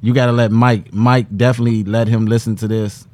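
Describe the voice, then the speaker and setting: A man's voice: mid-range voice, level tone, a little fast, a little mellow, slight background noise. Easygoing man in his forties hosting. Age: 40s. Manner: slangy, joking, informal. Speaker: host of a talk show. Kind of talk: casual chat, interviewing.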